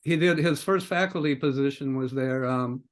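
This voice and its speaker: A man with an American accent hosting an interview podcast in a halting, informal, conversational way. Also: mid-range voice. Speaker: podcast host.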